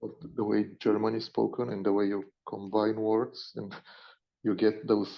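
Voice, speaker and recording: A man with a Romanian accent talking casually as a podcast co-host, in a halting way, searching for his words. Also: fairly low pitch, little pitch movement, creaky. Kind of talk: casual unscripted conversation. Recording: dull lo-fi audio.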